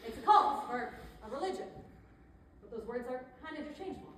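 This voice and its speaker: A woman's voice: high-pitched, animated, fairly quick, fairly bright tone. A woman playing a chatty, pushy but tactful image consultant in a stage comedy, conversational and persuasive with hesitant asides. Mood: coaxing. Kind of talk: theatrical stage comedy acting. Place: indoor theater stage. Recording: echoey theater, distant mic, faint noise.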